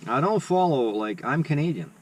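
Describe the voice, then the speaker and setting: A man's voice: fairly high pitch, expressive, normal speed. A man, chatting casually to camera and rambling with lots of fillers. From Russia. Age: 50s. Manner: rambling, conversational, with many fillers. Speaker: vlogger. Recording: slightly distant mic with faint background noise.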